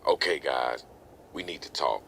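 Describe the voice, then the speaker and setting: Stern middle-aged father played by a man, low, sharp and exasperated, motion comic drama. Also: a little slow, gravelly, a little hiss or hum, exaggerated voice. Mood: exasperated, scolding. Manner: scolding, forceful. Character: stern, exasperated protective father of a teenage girl.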